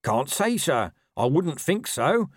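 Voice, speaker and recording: A man's voice: fairly high pitch, big pitch swings, slow, no room sound, clean studio sound, pitched above the performer's own voice, exaggerated voice. A man voicing a dutiful, plodding police constable who speaks hesitantly and deferentially in a storybook reading. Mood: earnest, deferential.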